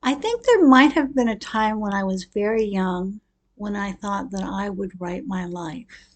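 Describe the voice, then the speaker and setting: A middle-aged woman giving a prepared personal talk as a storyteller, with deliberate, expressive and reflective delivery. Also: mid-range voice. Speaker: speaker telling her own life story.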